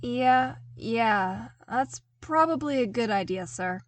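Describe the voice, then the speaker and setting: A woman's voice: on the high side, lively intonation, slow pace, dry recording. A woman voicing a frank, teasing, loyal male soldier in an audiobook, wry and familiar but deferential. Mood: agreeing. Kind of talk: audiobook character dialogue.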